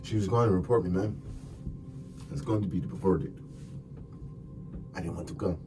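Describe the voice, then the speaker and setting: A man's voice: low-pitched, moderate pace, recorded from a distance, pitched below the performer's own voice, lightly acted. A man voicing a defiant, aggrieved murder suspect who protests in an ASMR crime roleplay. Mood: confessing, regretful.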